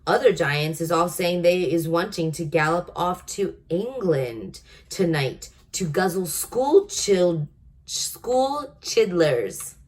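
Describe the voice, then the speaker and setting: A woman voicing a greedy, bullying man-eating male giant in a storybook, roaring and shouting insults. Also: lowish voice, expressive, slow pace. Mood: greedy, boastful.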